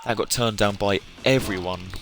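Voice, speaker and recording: A man's voice: lowish voice, moderate pace. A British man chatting casually to viewers on a live stream, rambling and hesitant, often trailing off. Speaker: hobby game streamer. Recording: music playing behind the voice. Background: music.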